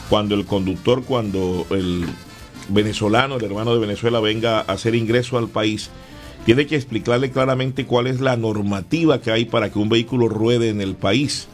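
Man, radio host, earnest, measured, faint background music. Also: lowish voice. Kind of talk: radio opinion commentary.

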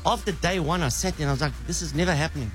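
A middle-aged man with a South African accent, hosting breakfast radio and telling stories in an animated way with lots of restarts, speaking into a studio mic. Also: on the high side, very fast, soft background music. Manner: animated storyteller with frequent restarts. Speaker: radio show host, prank caller. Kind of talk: casual breakfast radio banter. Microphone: studio microphone.

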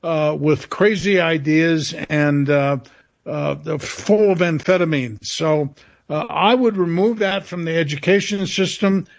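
A man answering as a radio interview guest, halting and often searching for his words. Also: a little high, creaky.

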